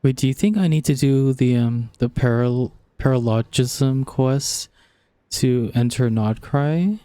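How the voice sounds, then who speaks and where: A man's voice: mid-range voice, unhurried, warm tone, clean tone, no room sound. A man streaming a video game and chatting casually as he plays. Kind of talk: casual chat while playing a game.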